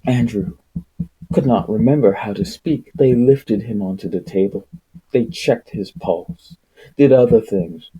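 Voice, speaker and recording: A man reading a story aloud as an amateur narrator, in an expressive, dramatic manner. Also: fairly low pitch, measured pace, breathy edge, poor audio. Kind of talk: expressive audiobook-style narration.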